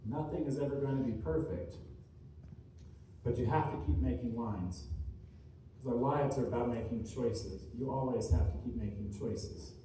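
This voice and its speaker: A man giving an inspirational speech to a room, earnest and deliberate, speaking in short, emphatic, repeated phrases. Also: mid-range voice, mellow. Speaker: motivational speaker, author. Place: large indoor room at a seminar. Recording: distant mic, echoey room, faint noise.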